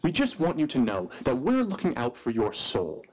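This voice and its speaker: An actor playing a polite, nervous teenage religious canvasser in a radio play, hesitant and deferential. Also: male voice, medium pitch, huge pitch swings, normal speed, a little mellow, breathy edge. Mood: earnest. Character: polite, nervous eighteen-year-old male religious canvasser.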